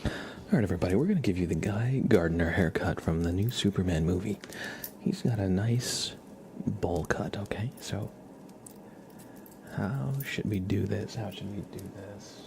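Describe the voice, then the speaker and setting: Deep voiced guy doing live asmr, soft and playful, half whispered, noisy background w scissor sounds. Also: moderate pace, vocal fry. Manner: soft-spoken, playful, slips between murmur and whisper. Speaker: ASMR livestreamer. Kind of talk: ASMR live chat with roleplay, partly whispered.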